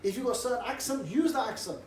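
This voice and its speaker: A middle-aged man with a fairly high voice, talking a group through a casual, cheeky briefing. He was recorded from across an echoey room, with faint noise underneath. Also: fast talker. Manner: cheeky, relaxed, jovial banter. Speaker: street preaching trainer. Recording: roomy echo, distant mic, faint background noise.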